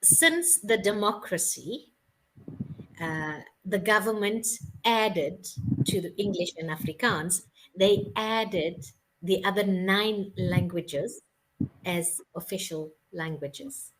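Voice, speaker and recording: A woman's voice: mid-range voice, slow pace, poor audio. A South African woman tutoring English on a video call, explaining patiently and checking that she is understood. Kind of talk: casual video-call conversation.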